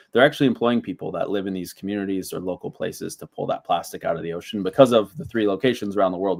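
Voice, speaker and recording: A man from Southern California earnestly explaining a partnership in a casual business pitch. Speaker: partnership presenter.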